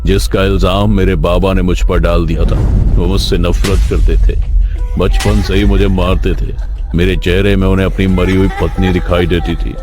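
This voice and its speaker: A man acts out a vengeful artist and murderer in a storytelling drama. He is menacing and theatrical, taunting in a low voice. Music plays prominently underneath. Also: lightly acted. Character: menacing vengeful male painter and killer, mocking, bitter.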